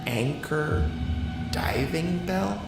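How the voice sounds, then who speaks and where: An actor playing a weary, humble handyman with a plain, halting, self-chiding manner in a dramatized horror audio story. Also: on the high side, animated, heavily acted. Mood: wary. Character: weary, humble handyman in a bleak post-apocalyptic world. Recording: echoing voice over clear music.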